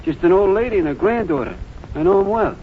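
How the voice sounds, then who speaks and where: A man's voice: sits high, expressive, fast, a little hiss or hum. An actor playing a blunt, folksy local man who talks in a plain country way, in 1940s radio acting. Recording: tinny old radio broadcast.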